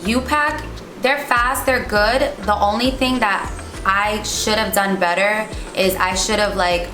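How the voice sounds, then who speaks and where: A woman's voice: medium pitch, measured pace, sharp tone. A young woman from New York and New Jersey in the United States, telling her experience casually as an interview guest. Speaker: interview guest, business owner. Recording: echoey room with music behind.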